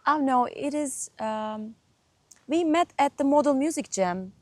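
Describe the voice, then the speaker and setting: A young woman from Turkey, whose first language is Turkish, chatting as a guest musician in an interview, laughing often and pausing with fillers. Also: sits high, lively intonation, a little mellow. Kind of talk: casual interview chat.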